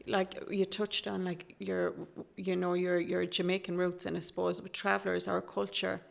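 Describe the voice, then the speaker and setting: A middle-aged Irish woman from Galway, speaking softly and hesitantly as a guest in an interview, with many restarts. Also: fairly low pitch, little pitch movement, moderate pace, gravelly. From Galway, Ireland. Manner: soft-spoken and hesitant, with many fillers and restarts. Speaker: guest, Traveller activist. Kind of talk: candid interview conversation.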